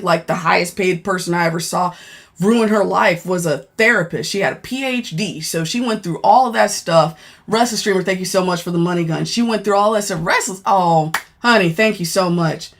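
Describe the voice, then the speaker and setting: Blunt deep-voiced woman on a livestream. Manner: blunt, frank, thanks viewers mid-sentence. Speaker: livestreamer and former law enforcement worker. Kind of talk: casual live-stream chat reading comments.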